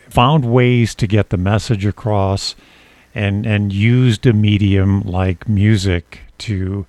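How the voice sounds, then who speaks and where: A man hosting an interview, putting measured questions in a deliberate, formal and wordy manner. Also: fairly low pitch, a little mellow, no room sound. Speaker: interview host.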